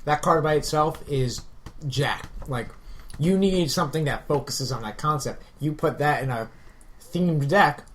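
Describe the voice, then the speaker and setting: A man's voice: a little high, unhurried. A man co-hosting a podcast in casual, conversational chat about games. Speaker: podcast co-host. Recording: clear background noise.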